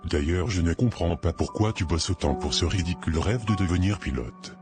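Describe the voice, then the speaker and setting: Man acting a deep-voiced, sneering cruel stepfather. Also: normal speed, close to an everyday voice. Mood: scornful, menacing. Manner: scornful, menacing. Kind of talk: dramatic dialogue in an animated short. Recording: loud music behind.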